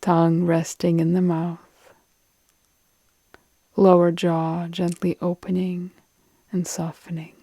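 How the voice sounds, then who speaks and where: A woman guiding a relaxation meditation in a calm, soothing, deliberate way with long pauses, her soft phrases trailing into breath. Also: lowish voice, slightly rough, dry recording. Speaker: yoga nidra meditation guide.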